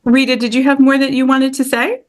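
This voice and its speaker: A woman's voice: high-pitched, level tone, brisk. A woman chairing a public meeting over a video call, upbeat and effusive, with frequent fillers. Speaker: committee chair.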